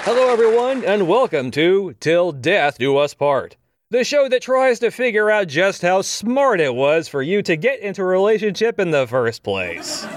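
Man playing a lively, slick game show host. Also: sits high, moderate pace. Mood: slick, teasing. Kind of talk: game show hosting patter. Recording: noisy studio crowd behind.

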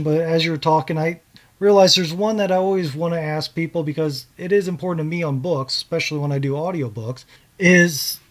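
Higher-voiced man hosting a casual interview. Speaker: podcast host interviewing an author.

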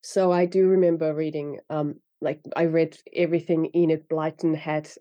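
A woman's voice: low voice, flat delivery, normal speed, mellow, no room sound, highs cut off. A woman author from Finland whose first language is Finnish, chatting loosely with fillers and restarts. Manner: rambling, many fillers and restarts, laughs often. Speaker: author guest. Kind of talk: casual interview chat.

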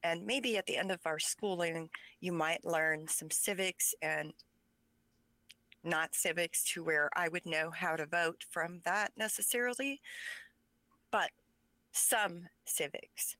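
A woman's voice: fairly low pitch, slow, sharp tone, dry recording, poor audio. A woman whose first language is Pennsylvania Dutch, talking as an author guest in an interview, speaking passionately and with emphasis. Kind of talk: conversational interview.

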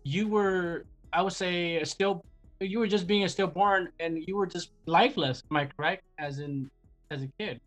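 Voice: medium pitch, normal speed, faint music behind. An interview host who welcomes the guest enthusiastically and asks questions in an admiring, rambling way. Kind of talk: interview hosting.

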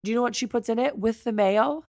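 A woman's voice: fairly high pitch, fast talker, vocal fry, a little mellow, dry recording, studio quality. An American woman co-hosting a podcast in casual chat, with a blunt, teasing, slangy way of talking. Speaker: podcast co-host.

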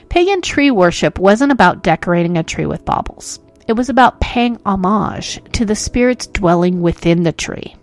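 A woman hosting a podcast who narrates history in a playful, self-mocking way full of jokes. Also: medium pitch. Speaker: podcast host.

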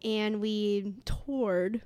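A woman's voice: medium pitch, steady tone, extremely slow, mellow, clear, resonant tone, dry recording, studio quality. A woman in her late twenties from upstate New York, co-hosting a casual podcast with playful, giggly banter. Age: 20s. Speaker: podcast co-host. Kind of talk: casual chat.

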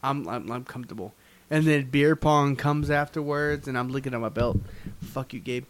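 A man's voice: medium pitch, moderate pace. A young man co-hosting a podcast, joking and teasing his friends in a casual chat. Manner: joking, teasing, laughs a lot. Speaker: podcast co-host.

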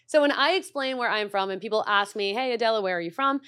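A woman hosting a podcast, introducing her show and guest in a lively, expressive way. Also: a little high, fast, no echo, studio quality. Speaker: podcast host. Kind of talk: podcast interview.

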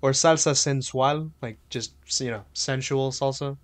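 A man's voice: mid-range voice, fairly even intonation, measured pace. A young man hosting a casual music chat, eagerly explaining song meanings and styles. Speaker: podcast host.

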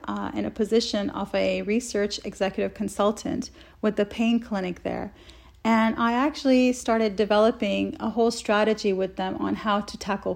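A woman from Saudi Arabia speaks as an interview guest, her voice marked by a good deal of creak. She talks at length in an earnest, enthusiastic, conversational way, at a middling pitch. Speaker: interview guest, health researcher.

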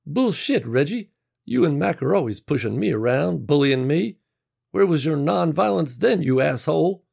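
Guy doing a jittery nerdy dude, rambly, husky voice. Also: mid-range voice, big pitch swings, normal speed, voice pushed up, exaggerated voice. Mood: tense. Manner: hesitant, rambling. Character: nervous bullied young man, zombie-lore nerd.

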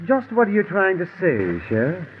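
Stern, defensive farmer, animated delivery. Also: male voice, sits high, normal speed. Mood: guarded, indignant. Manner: indignant, formal, defensive. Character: stern, righteous farmer, guarded and feigning innocence. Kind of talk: 1950s radio drama acting. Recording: tinny, noisy old radio broadcast.

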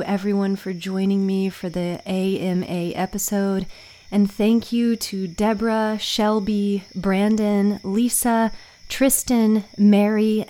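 A woman's voice: medium pitch, mellow. A woman hosting a podcast, talking casually and thinking aloud with an informal, self-mocking manner. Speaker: host and author of a fiction podcast. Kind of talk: casual podcast talk and recording outtakes.

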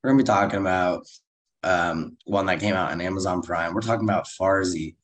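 An American man with a slightly hoarse voice, co-hosting an upbeat review podcast in conversational style. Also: deep voice, brisk. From United States. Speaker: co-host of a film and TV review show. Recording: roomy echo.

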